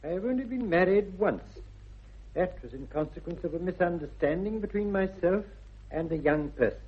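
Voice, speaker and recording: An actor playing a formal, deadpan Victorian manservant with an English accent, measured and deferential, in 1960s British radio comedy. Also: male voice, high voice, husky. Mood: solemn. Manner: formal, measured, dryly solemn. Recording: dull old radio recording.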